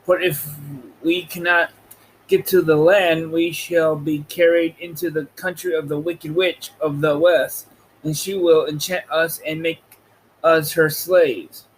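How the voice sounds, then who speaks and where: Man reading as a slow, worried tin woodman. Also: fairly high pitch, flat delivery, close to an everyday voice, poor audio. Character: tin woodman, gloomy, worried storybook companion. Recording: noticeable background noise.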